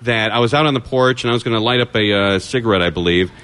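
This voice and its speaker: A man's voice: mid-range voice, rapid-fire, fairly bright tone, clean tone. A middle-aged man hosting a radio talk show, chatting casually and jokingly in a hesitant, rambling, self-deprecating way.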